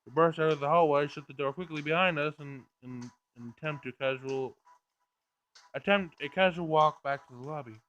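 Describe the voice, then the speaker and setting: A man's voice: fairly high pitch, normal speed, a bit bright, lo-fi sound. A man reading visual novel narration aloud as he plays, stumbling over words now and then. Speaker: gameplay streamer reading aloud. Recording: game music behind. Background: game music.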